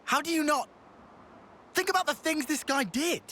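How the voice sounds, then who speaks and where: A man playing a brash, blunt young male fighter in a video game cutscene, outspoken and forceful. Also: very high voice, animated, moderate pace, slight background noise, full character voice. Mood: indignant.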